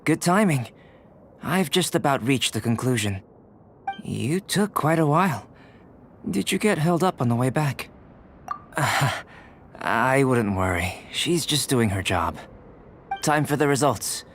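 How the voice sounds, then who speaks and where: A man playing a calm, polite young alchemist in a video game, speaking in a measured, scholarly way. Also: medium pitch, a little hiss or hum.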